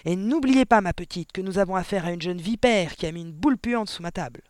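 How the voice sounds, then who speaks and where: A monstrous middle-aged storybook headmistress, played by a man, bullying and full of spite. The voice is pushed high and barks out each phrase with emphatic scorn. The sound is close and dry. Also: expressive, a little fast, no room sound. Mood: furious, menacing.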